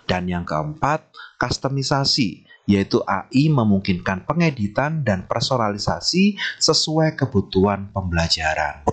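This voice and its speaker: A man's voice: fairly low pitch, very animated, a little slow, slightly rough. A man acting as trainer, giving an online training lecture and reading through slide points while politely addressing his listeners.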